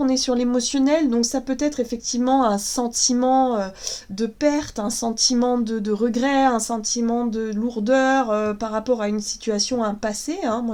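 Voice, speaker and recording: A woman reads tarot cards for her viewers in a casual, meandering way, full of fillers and restarts. Her voice sits a little high, and she is still getting over a cold. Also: darkish tone. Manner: hesitant, many fillers and restarts. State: has a cold, sniffly. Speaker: tarot card reader.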